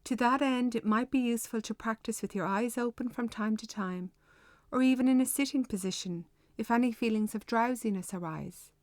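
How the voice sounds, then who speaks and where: High-voiced woman guiding a meditation. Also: no echo. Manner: measured, unhurried, gently instructing. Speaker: meditation guide. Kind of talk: guided meditation, slow instructional narration.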